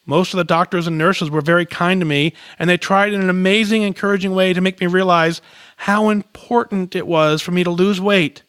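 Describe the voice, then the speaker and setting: A man with a high voice reads a listener's letter on his podcast, earnest and measured, his sentence endings falling soft. The sound is dry and close, with no room around it. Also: steady tone, smooth, dry recording. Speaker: podcast host. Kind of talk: reading a listener's letter aloud.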